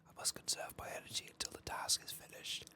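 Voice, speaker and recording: A man doing soft-spoken, whispered ASMR game commentary in a hushed, breathy, gravelly voice, murmuring without hurry. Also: fast. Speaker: ASMR game playthrough host.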